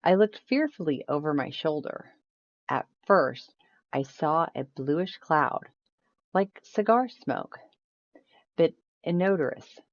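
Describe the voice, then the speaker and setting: A woman's voice: sits low, animated, slow pace. A woman reading a ghost story aloud as scripted storybook narration. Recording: roomy echo, slightly dull sound.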